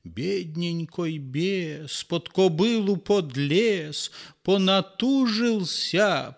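Мужчина читает сказку, неторопливо. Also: male voice, on the high side. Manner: theatrical, lively storytelling. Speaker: narrator. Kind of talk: expressive fairy tale reading.

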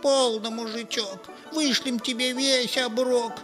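Мужчина изображает писклявого бесёнка. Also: male voice, fairly even intonation, slow pace, over music. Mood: wheedling. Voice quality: squeaky high put-on voice. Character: little male imp, nervous and scheming. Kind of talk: storybook reading.

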